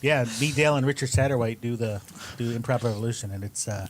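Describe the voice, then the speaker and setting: A man's voice: mid-range voice, quick pace, a little hiss or hum. A man speaking casually as an improv comedian being interviewed as a guest on a talk show.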